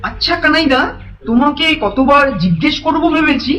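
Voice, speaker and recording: A man's voice: very high voice, lively intonation, fairly quick, sharp tone, exaggerated voice, low-quality recording. A man playing a mischievous, mocking young village lad in a fairy tale, needling with sing-song taunts. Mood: falsely friendly. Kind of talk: animated fairy-tale voice acting.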